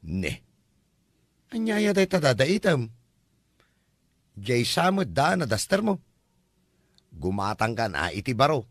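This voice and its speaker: A man's voice: medium pitch, very animated, takes it slowly, long pauses, dry recording, clean studio sound, full character voice. A man playing a nosy, teasing Filipino visitor with an Ilocano accent in a comedy drama.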